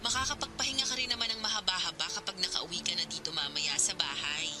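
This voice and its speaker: An actress playing a caring older sister who gently reassures her sibling on the phone. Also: fairly low pitch, fast, natural voice. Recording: loud music under the voice.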